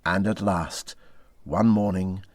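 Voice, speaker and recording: A man reading a classic story aloud as an expressive audiobook narrator. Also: low voice, takes it slowly, no echo.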